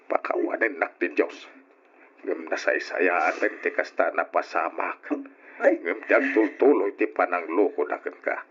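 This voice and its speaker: An actor playing a stern but sympathetic elderly father and grandfather in an Ilocano radio drama, speaking gravely and emphatically with an Ilocano accent. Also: deep voice, a little slow, noisy background. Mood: indignant. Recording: dull, muffled old radio drama recording.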